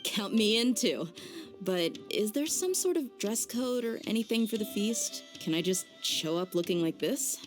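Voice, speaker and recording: A woman's voice: medium pitch, lively intonation, measured pace, soft background music, natural voice. A woman voicing a confident, laid-back young bodyguard in a video game, speaking frankly. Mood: casual, easygoing. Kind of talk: video game dub acting.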